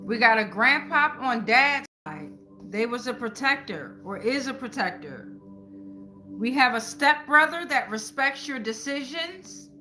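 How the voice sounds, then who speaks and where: A woman's voice: on the high side, lively intonation, a little slow, pushed and bright, faint music behind. A woman hosting a live tarot reading, talking informally to viewers with repeated phrases and frequent check-ins. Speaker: tarot reader and live stream host.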